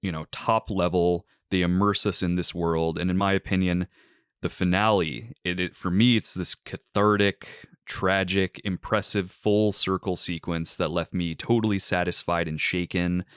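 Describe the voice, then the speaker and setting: A man hosting a film podcast, reviewing a movie and introducing a guest in a measured, articulate way with some "like" fillers. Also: low voice, steady tone, a bit bright, clear, resonant tone, dry recording, studio quality. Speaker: podcast host.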